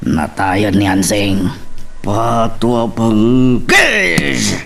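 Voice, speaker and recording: A man's voice: on the low side, very animated, extremely slow, gravelly, a bit bright, distant mic, faint music behind, heavily acted. A man with a Filipino accent playing a vengeful, hot-tempered henchman in an audio drama, agitated and groaning between phrases. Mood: pained, angry. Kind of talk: Filipino radio-style drama acting.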